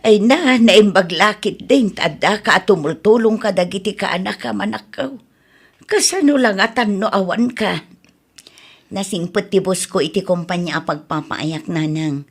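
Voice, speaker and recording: A woman's voice: medium pitch, moderate pace, slightly rough. Concerned female relative, Ilocano accent, family audio drama, played by a woman. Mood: warm. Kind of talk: Ilocano radio-style family drama acting.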